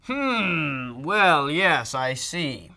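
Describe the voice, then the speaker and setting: A man voicing a fussy, pompous doctor in a storybook reading, loud and brisk. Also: on the high side, sing-song, voice pushed up, heavily acted. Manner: rattling off lists loudly and briskly. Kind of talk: storybook read-aloud voice.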